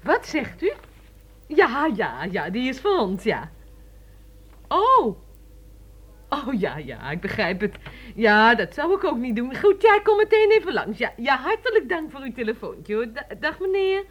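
A woman's voice: sits high, wildly swooping pitch, unhurried, husky, highs cut off, heavily acted. A woman playing a grumbling but good-natured housekeeper in a children's radio play, sceptical and given to exclaiming. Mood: polite, surprised.

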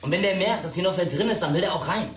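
An actor playing a brash, mocking teenage school bully in a radio drama, talking in slangy taunts. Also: male voice, sits high, rapid-fire, breathy edge. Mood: cocky. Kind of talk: modern radio drama acting.

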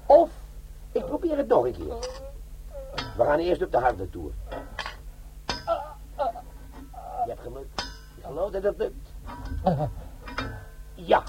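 An actor playing a cocky, chatty bomb-disposal sergeant of middle age, with darkly joking teasing, in a Dutch comic radio drama. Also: male voice, on the high side, wildly swooping pitch, unhurried, long pauses, lo-fi sound, over music. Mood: matter-of-fact, working.

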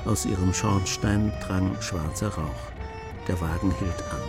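A man narrating a German radio play as scripted storytelling, in a measured manner. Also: low-pitched. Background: music.

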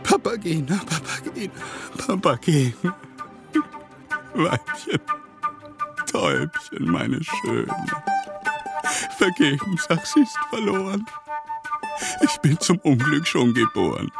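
Lovesick self-pitying simple fellow, high, wildly varied pitch, plaintive radio-play verse. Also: male voice, huge pitch swings, takes it slowly, creaky, pauses often, music in the background, heavily acted. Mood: longing, calling. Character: lovesick, self-pitying chatterbox male bird-catcher seeking his sweetheart.

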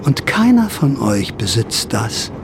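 A man's voice: medium pitch, huge pitch swings, slow pace, noisy background, full character voice. A man playing a sly typewriter thief who narrates his own story in a theatrical, wry radio-play style. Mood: mocking. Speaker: first-person narrator and lead of a radio drama.

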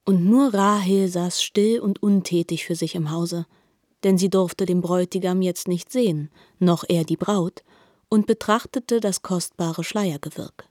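Brief: female narrator, lowish voice, quiet gentle reading, dry close studio sound. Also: fairly even intonation, unhurried, no echo. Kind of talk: scripted literary narration.

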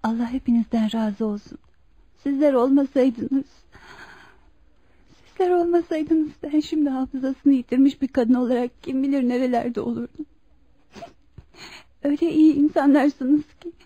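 A woman's voice: high voice, moderate pace, long pauses, mellow, dull audio, natural voice. An actress playing a fragile, grateful wronged mother who regains her memory, speaking hesitantly and emotionally in a radio drama. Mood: humble, grateful. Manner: hesitant, emotional, repeating words.

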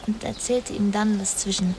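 An actress playing a guarded daughter who haltingly confesses a family secret in a radio crime drama. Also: female voice, medium pitch, steady tone, mellow, a little hiss or hum, close to an everyday voice. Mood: guarded, confessing. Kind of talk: dramatic radio play acting.

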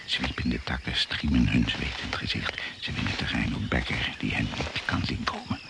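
A man's voice: extremely low pitch, a little fast, creaky. A man narrating a suspenseful jungle adventure radio play in terse, dramatic phrases. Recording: very noisy radio play recording, insect chirps. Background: crickets and jungle insects.

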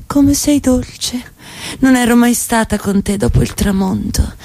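A woman's voice: fairly high pitch, measured pace, mellow, no room sound. Woman acting insistent, theatrical wife, dry studio sound. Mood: coy, seductive. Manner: theatrical, insistent, slipping into whispers. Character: middle-class wife, evasive, defiant, then coyly seductive.

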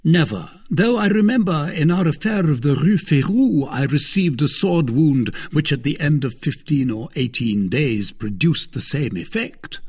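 A man voicing a grave, dry-witted gentleman soldier in a measured manner for an audiobook. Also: fairly high pitch, expressive, breathy edge, pitched above the performer's own voice.

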